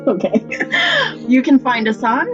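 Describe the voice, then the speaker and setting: Female promo co-host, deadpan and unhurried, muffled sound with music behind. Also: sits high. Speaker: podcast co-host. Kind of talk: podcast promo banter. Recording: dull lo-fi promo with loud music.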